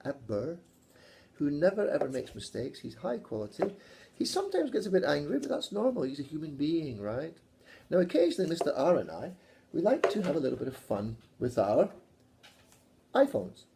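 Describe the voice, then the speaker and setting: A man's voice: on the high side, very animated, moderate pace, vocal fry, background noise. A Scottish man teaching a casual video English lesson, joking and teasing his colleague as he talks. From Scotland. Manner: playful, teasing, jokey asides.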